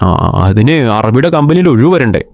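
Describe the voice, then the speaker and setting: A man's voice: mid-range voice, lively intonation, brisk, darkish tone, no room sound, close to an everyday voice. A young man from Kerala voicing a bossy, scheming cartoon friend who lectures the others.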